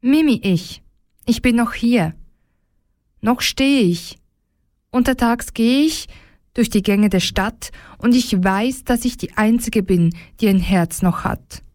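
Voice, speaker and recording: A woman acts as a homeless woman, the last one with a heart, in a radio drama. Her voice is fairly high and slow, earnest and defiant, in a dry recording. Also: darkish tone, dry recording. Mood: resolute. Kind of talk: scripted radio drama acting.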